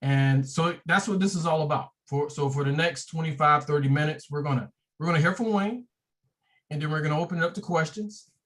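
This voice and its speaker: A man's voice: fairly high pitch, brisk, fairly bright tone. A man who is a branch president, hosting a virtual meeting in a loose, joking way. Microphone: video call.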